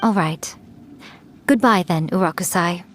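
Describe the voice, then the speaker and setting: A woman's voice: fairly low pitch, fairly bright tone, a little hiss or hum. A woman playing a sly, smug, playful centuries-old yokai shrine maiden in a video game, coy and teasing. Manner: coy, teasing, drawn-out interjections.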